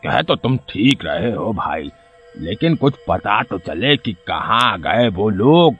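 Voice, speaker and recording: Man as an old village headman, cartoon, kindly, cautious, slow, expressive pitch. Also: dull audio, soft background music, heavily acted. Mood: grave concern. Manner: slow, reassuring, deliberate.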